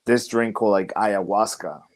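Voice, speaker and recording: A man's voice: fairly low pitch, steady tone, normal speed. A young man, a professional wrestler guest on a podcast, talking casually and reflectively with many "like" and "you know" fillers. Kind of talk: casual interview chat.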